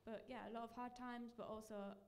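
A young woman on a panel speaking softly and a little hesitantly. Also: a little high, level tone, fairly quick. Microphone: handheld mic.

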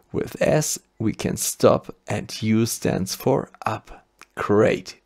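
A man's voice: medium pitch, gravelly, a little mellow. A man with a German accent narrating a scripted electronics tutorial step by step. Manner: methodical step-by-step explaining. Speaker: maker channel host.

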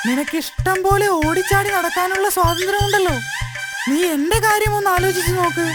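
A woman's voice: very high voice, fairly quick. A woman voicing a lazy, restless little boy elephant in a children's cartoon, who grumbles and sulks. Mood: grumbling. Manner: grumbling, sulky. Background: loud children's music.

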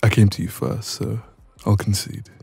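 A man playing a dominant, cocky young ex-boyfriend in an intimate audio roleplay, soft and breathy, smug and casual, close to the microphone. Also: on the low side, flat delivery, moderate pace, no echo, soft background music, full character voice. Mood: teasing, subdued.

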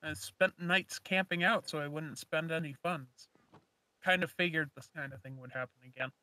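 Casting: male actor as young dragon-slaying hero, easygoing and chatty, forceful bright tone, slightly high, close dry sound. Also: steady tone, moderate pace, dry recording, poor audio. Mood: eager. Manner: casual, chatty. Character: eager, easygoing young male fire dragon slayer mage. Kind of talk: improvised fantasy game roleplay.

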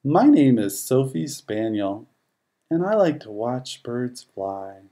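A narrator voicing a gentle, dreamy spaniel in a rhyming children's storybook. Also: medium pitch, very animated, takes it slowly. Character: gentle, dreamy spaniel who loves birds.

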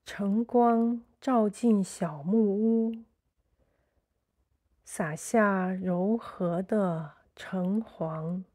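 Very slow, mellow woman guiding meditation. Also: medium pitch. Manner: soothing, measured, short phrases with pauses. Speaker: guided meditation narrator. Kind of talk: slow sleep meditation narration.

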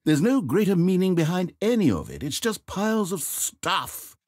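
An actor voicing a video game's narrator: a man with a polite, theatrical manner, breathy and fretful. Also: high-pitched, very animated, normal speed, darkish tone, no room sound. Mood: earnest. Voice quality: breathy, dry close-miked studio voice. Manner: polite, fretful, swinging between grandeur and self-doubt. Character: fussy, theatrical male narrator of a video game. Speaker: game narrator. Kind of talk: scripted game voice acting. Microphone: studio microphone.

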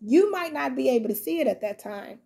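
A young woman with a slightly high, breathy voice gives a casual tarot reading to the camera. She chats loosely with her viewers and reads guidebook passages aloud. She sits on her living room couch a few feet from the camera. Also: animated, a little fast, dark tone. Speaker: tarot reader. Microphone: fixed camera a few feet away.

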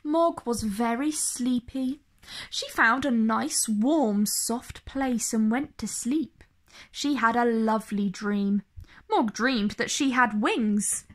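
A woman reading a children's story aloud in an expressive way, phrasing each line clearly and deliberately for young listeners. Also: a little high. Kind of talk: slow, expressive picture-book read-aloud.

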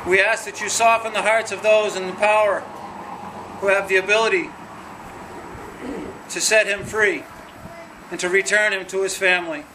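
A man's voice: sits high, steady tone, background noise. A man leading an earnest spoken prayer at a nighttime outdoor vigil in a gazebo, recorded by a distant camera. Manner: earnest, measured praying.

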